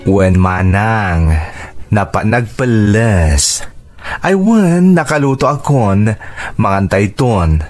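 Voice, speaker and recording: A man's voice: lowish voice, expressive, slow, darkish tone, soft background music. A man playing a caring, hardworking uncle in an Ilocano radio drama, speaking expressively with an Ilocano accent. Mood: easygoing, devoted. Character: caring, hardworking uncle supporting his niece's schooling.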